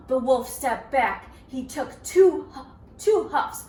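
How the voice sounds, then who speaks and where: A woman reading a storybook aloud to children in an expressive, interactive way, with dramatic gasps and prompting questions. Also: high voice, slow pace. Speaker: children's story reader, teacher. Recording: roomy, distant, faint background noise.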